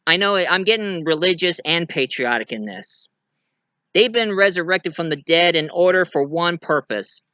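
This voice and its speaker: A man hosting his own vlog, talking casually to viewers and haltingly searching for words. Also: on the high side, pushed and bright, clean tone, no room sound. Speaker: video reviewer and host of the channel. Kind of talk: casual talk to viewers about own writing.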